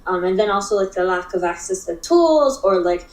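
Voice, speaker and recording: Halting young woman from Africa, roomy sound. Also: mid-range voice, animated. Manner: halting, filler-heavy speech with frequent restarts. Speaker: interview guest, digital art expert. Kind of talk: casual conversational interview. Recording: roomy echo.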